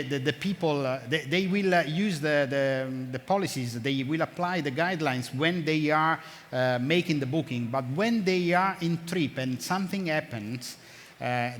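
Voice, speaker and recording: Halting man in a big hall. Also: on the high side, fairly bright tone. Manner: halting, many fillers and restarts. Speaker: conference speaker. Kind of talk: conference talk. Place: large conference hall. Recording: long hall reverb, faint noise.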